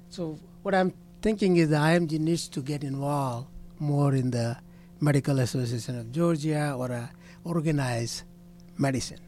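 A man's voice: on the high side, fairly even intonation, normal speed, a little hiss or hum. A man who is a physician and medical association president, answering interview questions in short, direct statements. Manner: short, blunt statements, often opens with "see".

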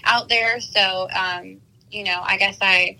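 A woman's voice: mid-range voice, fairly even intonation, slow pace, gravelly, bright, forceful tone, poor audio. A woman calling in to a talk show who speaks hesitantly and eagerly, with many fillers and restarts. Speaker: caller. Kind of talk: casual chat.

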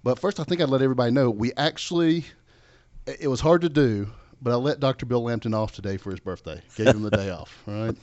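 Guy hosting a local business radio show, medium pitched voice, informal and bantering. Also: a little mellow, muffled recording.

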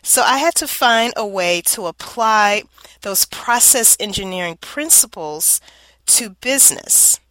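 Bright upbeat woman podcast host. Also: medium pitch, animated, unhurried, no echo, rough recording. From Louisiana. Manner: upbeat, explanatory. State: congested from allergies, slightly nasal. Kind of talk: solo monologue podcast.

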